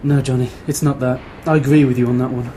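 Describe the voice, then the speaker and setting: Mellow man acting a stern newspaper editor. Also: medium pitch, little pitch movement, fast talker, a little hiss or hum, close to an everyday voice. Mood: serious. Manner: admonishing, reasoning. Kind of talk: amateur radio play acting.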